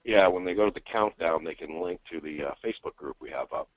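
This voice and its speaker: A man co-hosting a business webinar over a phone line, breaking in quickly with questions and jokes. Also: low voice, no echo. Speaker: webinar co-host. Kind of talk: casual business webinar talk.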